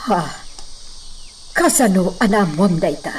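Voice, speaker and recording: A woman acting a worried, breathy lady of a hacienda in a radio drama, with an Ilocano Filipino accent. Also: lowish voice, lively intonation, measured pace, distant mic, slight background noise. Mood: worried, fearful. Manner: anxious, sighing.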